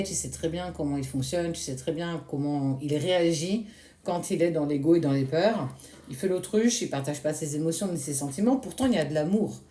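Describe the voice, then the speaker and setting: Woman, tarot reader, hoarse, mellow voice, reassuring, echoey room. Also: lowish voice. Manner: addresses viewer directly with soothing reassurance. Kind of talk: casual spoken tarot reading.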